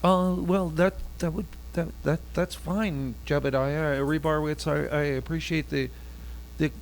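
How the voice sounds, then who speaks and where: A man playing a mild-mannered Jesus in a comedy radio bit, who speaks softly and hesitantly and stammers calmly. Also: a little high, a little hiss or hum. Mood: gentle. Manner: soft, halting, hesitant and placid. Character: mild-mannered Jesus, gentle carpenter and would-be rocker.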